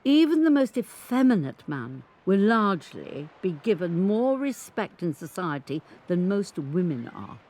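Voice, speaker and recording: An actress playing a resolute grandmother in her eighties, recounting her life candidly in a measured, emphatic dramatic monologue. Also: fairly low pitch, sing-song, darkish tone. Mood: firm, candid. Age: 80s. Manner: measured, emphatic, reflective storytelling. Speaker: monologue performer. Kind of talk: dramatic first-person monologue narration. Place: recording studio. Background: music.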